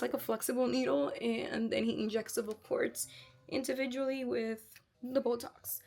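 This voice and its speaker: A young woman with a slightly breathy voice, chatting openly and personally in a casual home vlog. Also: on the high side, a little mellow, recorded from a distance. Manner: chatty, filler-heavy, open and personal. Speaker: vlogger. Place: at home, in her room. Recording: roomy echo, a little off-mic.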